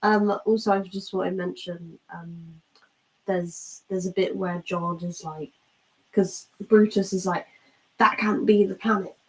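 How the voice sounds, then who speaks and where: A woman's voice: fairly low pitch, steady tone, measured pace, darkish tone, recorded from a distance, poor audio. Casual woman co-host, slightly off mic. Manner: informal, interjecting, quotes characters. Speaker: podcast co-host. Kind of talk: casual chat recapping a TV series.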